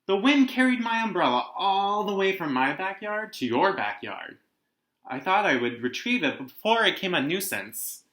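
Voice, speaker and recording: A man voicing a gentle, courteous giant panda from a picture book, speaking formally. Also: sits high, big pitch swings, normal speed, voice pushed up. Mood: apologetic. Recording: roomy indoor echo.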